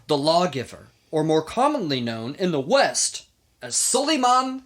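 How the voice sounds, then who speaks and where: A man's voice: fairly high pitch, sing-song, measured pace, a bit bright. A man hosting a podcast, telling history in an informal, emphatic way with casual banter.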